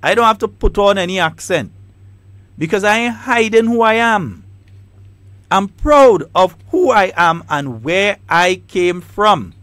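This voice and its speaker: Emphatic Trinidadian-Grenadian talk host. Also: male voice, high-pitched, lively intonation, unhurried, fairly bright tone, no echo. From Trinidad and Tobago. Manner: emphatic, repetitive, combative. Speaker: radio talk show host. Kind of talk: talk radio commentary.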